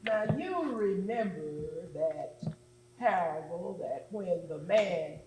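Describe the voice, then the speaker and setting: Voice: medium pitch, animated, roomy sound, noisy background. A performer playing a folksy, earnest church preacher, drawing out a staged sermon to the congregation. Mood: folksy, storytelling. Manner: slow, folksy, drawn-out exhortation. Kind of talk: staged sermon in readers theatre.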